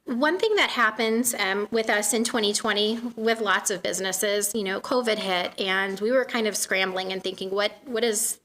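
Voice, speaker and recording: A soft-spoken woman in her thirties giving a presentation to a community meeting in a room, with frequent "um" fillers. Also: medium pitch, moderate pace, few pauses, slight background noise. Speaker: guest speaker from a donation nonprofit.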